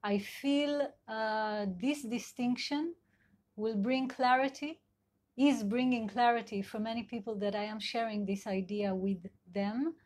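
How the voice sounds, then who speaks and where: A middle-aged woman with an Israeli accent, a sex and couple therapist whose first language is Hebrew, giving a live online talk in a gentle, searching way with many fillers. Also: a little high, fairly even intonation, unhurried. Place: at home in Israel.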